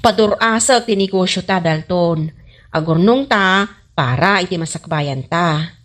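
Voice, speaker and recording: A woman's voice: lowish voice, a little slow. A woman playing a frank, teasing young snack seller in an Ilocano comedy radio drama, with an Ilocano accent.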